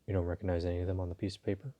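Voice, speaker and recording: A man playing a polite, flirtatious and dominant mafia boss in an intimate audio roleplay, talking to the listener in an unhurried, teasing way. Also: low-pitched, little pitch movement, warm tone. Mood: calm, probing.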